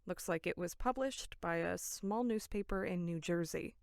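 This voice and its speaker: A woman's voice: fairly low pitch, normal speed, clean tone, no echo, clean studio sound, natural voice. A woman acting in an audio drama as a level-headed young camp counselor, sincere, polite and matter-of-fact. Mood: helpful.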